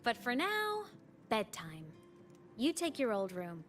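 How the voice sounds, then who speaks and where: A woman voicing a gentle, affectionate young woman with a playful, childlike side in a video game. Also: a little high, wildly swooping pitch, slow pace, exaggerated voice. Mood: tender. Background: game music.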